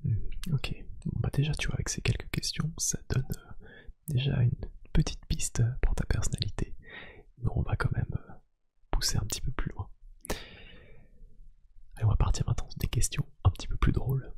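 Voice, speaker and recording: A man speaking in a soft, hushed ASMR roleplay style, gently asking questions in an unhurried, hesitant, lightly teasing way. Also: medium pitch. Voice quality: hushed, breathy, half-whispered. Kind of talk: soft-spoken ASMR roleplay, one-sided conversation.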